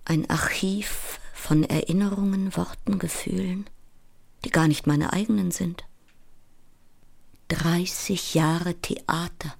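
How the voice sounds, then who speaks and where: A woman's voice: fairly low pitch, steady tone, creaky, exaggerated voice. A woman playing a sleepless, frantic stage actress on the verge of breakdown, in an overwrought theatrical monologue. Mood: brooding, obsessive. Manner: overwrought, dramatic, deliberate delivery. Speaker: actress in a solo stage play. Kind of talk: theatrical monologue, shifting between declaimed recitation and muttering. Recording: loud music under the voice. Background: loud music.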